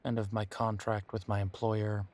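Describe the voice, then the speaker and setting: A man's voice: lowish voice, level tone, normal speed, a little mellow, close to an everyday voice. A man playing a bitter, wounded werewolf confiding in his partner in an intimate audio roleplay, with a sardonic, hesitant, conversational delivery. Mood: bitter, weary.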